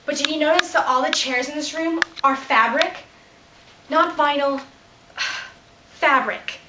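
A sixteen-year-old girl playing a fussy, exasperated germophobe patient in a comic stage monologue. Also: high voice. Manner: exasperated, emphatic. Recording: echoey room, distant mic, faint noise.

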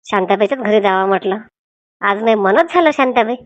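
A woman's voice: medium pitch, lively intonation, fairly quick, no echo, lo-fi sound, close to an everyday voice. A woman voicing gossipy, scheming village women in a Marathi comedy cartoon, with a Varhadi accent from Vidarbha and a colloquial, dramatic way of talking. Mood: homely, chatty.

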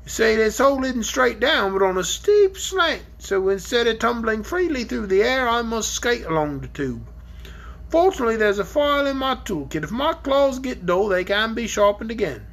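A woman voicing a gruff, boastful young male dragon in a storybook reading. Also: a little high, animated, normal speed, pitched above the performer's own voice. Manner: sneering, blunt. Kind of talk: audiobook character reading.

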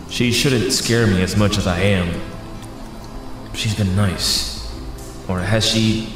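A man acts as an injured young superhero held prisoner, narrating his ordeal in an audio drama. His low voice is weary and halting. It rings with heavy echo while music plays clearly underneath. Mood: exhausted, anxious. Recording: echoing room with music underneath.

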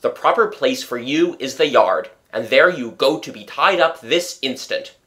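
A storybook reader voicing a blustering, pompous middle-aged father who declaims loudly and indignantly. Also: mid-range voice, extremely slow. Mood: commanding, reckless. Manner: loud, indignant, emphatic declaiming.

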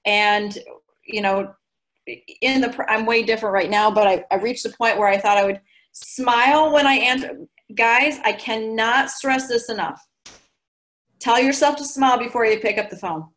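A woman in her forties giving chatty, animated coaching advice over a video call from her living room. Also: fairly high pitch, normal speed. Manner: chatty and animated, gestures a lot. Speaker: guest recruiter. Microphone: video call laptop mic.

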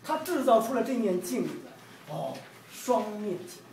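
A middle-aged man from China reads his own poetry in a measured, emphatic, declamatory way. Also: high-pitched, sing-song, a little mellow. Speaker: poet reading own work. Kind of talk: poetry reading. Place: room with large windows, indoors. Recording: distant roomy event recording, background noise.

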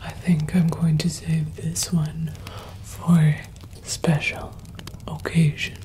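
Very slow, whispering young woman. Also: deep voice, flat delivery, dark tone. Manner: whispering, slow, soothing, drawn-out. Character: doting, mischievous witch pampering her cat familiar. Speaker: ASMR performer. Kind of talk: whispered ASMR roleplay. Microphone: close ASMR microphone.

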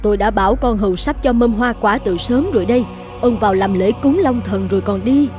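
A woman's voice: mid-range voice, fairly quick, a little mellow, soft background music, lightly acted. A woman voicing the bossy, fussy middle-aged wife of a rich official in a folk-tale cartoon. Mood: brisk.

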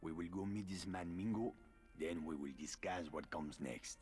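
An actor playing a calm, guarded male resistance leader in a video game. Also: low voice, slightly rough. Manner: measured, secretive. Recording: game audio over faint music.

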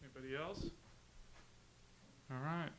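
Male moderator, raised pitch, short announcements, faint background noise. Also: a little slow, a bit bright, poor audio. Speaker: press conference moderator. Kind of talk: brief announcements.

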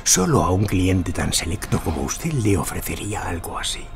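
An actor playing a flattering, unctuous Lebanese antiques dealer in an audio drama, speaking theatrically. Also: male voice, low-pitched, normal speed, creaky, faint music behind, exaggerated voice. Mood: ingratiating. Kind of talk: Spanish audio drama acting.

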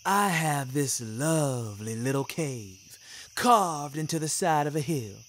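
A man's voice: fairly high pitch, animated, slow pace, fairly bright tone, slight background noise. A man voicing a jovial, worldly old wolf in an audio story, theatrical and genial with a sly edge. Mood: conceding, persuading. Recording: music bed under the voice.

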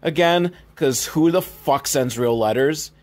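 A man's voice: on the high side, a little slow, darkish tone. A man hosting a comedy podcast, recapping films in a casual, rambling, sweary, mock-exasperated way. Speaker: podcast host.

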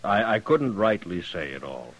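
Evasive frontier bartender, low and husky, very animated delivery, folksy radio western style. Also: male voice, moderate pace, dull audio. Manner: folksy, hedging drawl. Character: evasive, folksy male saloon barkeeper in old West. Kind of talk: 1950s western radio acting.

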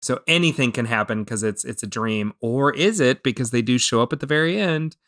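Male podcast co-host, 30s, American, medium pitch, chatty and enthusiastic, speaking close on mic at home. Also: lively intonation, a little fast, a bit bright. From American Midwest. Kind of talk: casual film discussion. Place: small room at home, indoors. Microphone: close podcast microphone with headphones.

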